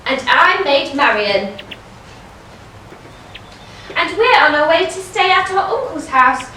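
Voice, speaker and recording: A woman's voice: sits high, sing-song, slow pace, bright, forceful tone, exaggerated voice. A woman playing a bossy, spirited young noblewoman in a stage pantomime, speaking with theatrical emphasis. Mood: indignant, bossy. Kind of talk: broad stage pantomime acting. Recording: echoey hall, distant mic, faint noise.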